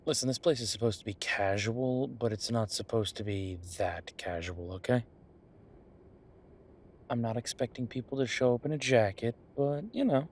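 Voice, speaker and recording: A man playing an easygoing, devoted boyfriend who is a small-town chef, talking casually and haltingly in an intimate, reassuring roleplay audio. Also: medium pitch, lightly acted. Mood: earnest, reassuring. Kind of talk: intimate conversational roleplay acting.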